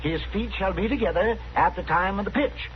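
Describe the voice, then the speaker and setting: Man playing a fussy, high-voiced old frontier doctor. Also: fairly quick, close to an everyday voice. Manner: pedantic, hemming, officious. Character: fussy, crotchety small-town frontier doctor, older man. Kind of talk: old-time radio western acting. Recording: dull, noisy vintage radio recording.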